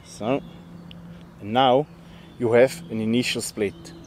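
Bushcraft instructor, 40s, unhurried friendly explaining, recorded outdoors in a forest with light background noise. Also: male voice, medium pitch, fairly even intonation. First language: German. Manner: plain, friendly, step-by-step explaining. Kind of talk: outdoor tutorial demonstration.

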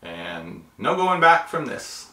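A man in his fifties presents a tech tutorial. His voice is bright and medium in pitch, and he explains things methodically, one step at a time. The room adds a noticeable echo. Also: big pitch swings, takes it slowly. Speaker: host of a tech tutorial channel. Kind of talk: step-by-step how-to instruction. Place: small indoor room, seated at a table. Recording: roomy indoor echo.

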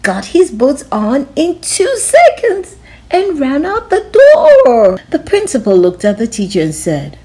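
Slow, lively woman reading a kids' book. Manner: slow, lively, giggly reading with big pitch swings. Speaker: storytime channel host. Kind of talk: children's picture book read-aloud.

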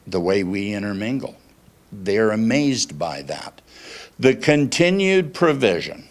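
A man in his sixties speaks as a pastor on the low side of male pitch. He takes his time, setting out short deliberate phrases and turning to the congregation with questions. The style is conversational preaching in a small church room. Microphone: handheld microphone held at chest.

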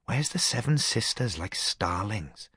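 Man doing a grumbling Welsh lad, pitched up. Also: very animated, measured pace, bright, forceful tone, breathy edge, no echo, studio quality, full character voice. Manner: grumbling, complaining.

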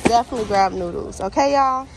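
High-voiced American woman vlogging casually. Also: quick pace. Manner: informal, conversational, addresses viewers directly. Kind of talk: casual shopping vlog chat. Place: inside a large warehouse store. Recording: faint store ambience noise.

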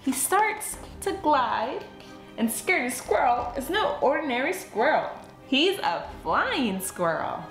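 A woman reading a children's storybook aloud in an expressive, dramatic way for young listeners. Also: sits high, slow pace. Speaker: children's book read-aloud host. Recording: roomy echo with music behind. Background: music.

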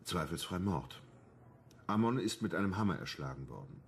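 An actor playing a matter-of-fact homicide inspector in a crime radio drama, measured, official and blunt. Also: male voice, low voice, sing-song, creaky, heavily acted. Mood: official, sober.

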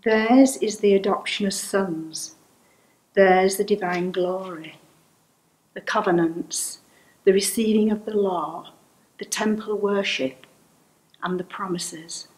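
An older woman reads a Bible passage for a church service, taking each phrase slowly and carefully. Her voice sits at a middle pitch and comes through a home webcam. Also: steady tone, a little mellow, poor audio. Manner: slow, measured, careful reading. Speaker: lay reader. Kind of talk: reading scripture at a service.

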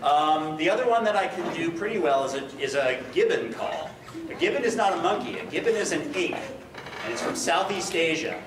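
A middle-aged man giving an excited science talk to schoolchildren, slipping in Spanish phrases and taking their questions. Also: on the high side, normal speed. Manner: enthusiastic, mixes English with Spanish phrases; walks and gestures in front of the audience. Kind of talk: classroom talk with Q and A for children. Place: large school cafeteria with many children. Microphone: wireless headset or lapel mic. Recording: roomy hall, from a distance, children noisy behind.

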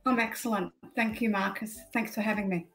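A woman's voice: medium pitch, fairly even intonation, fairly quick, fairly bright tone, soft background music. Australian woman on an echoey video call. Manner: chatty, explanatory, many "um"s. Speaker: guest, travel blogger. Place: indoor room.

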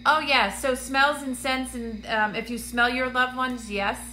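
A bright-voiced woman chats on a phone live stream from a dim room, greeting viewers by name between plenty of ums. She sounds congested and a touch raspy, with a faint hum behind her. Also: high-pitched, steady tone, unhurried. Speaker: medium hosting a live stream. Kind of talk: casual livestream chat to viewers. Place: dim indoor room, seated on a couch. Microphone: phone camera at arm's length.

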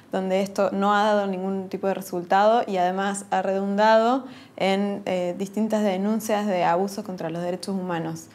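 A young woman, explaining calmly in an interview with frequent hesitations. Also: medium pitch. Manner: measured, hesitant with frequent fillers. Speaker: philosophy researcher, interviewee. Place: indoor room, seated interview.

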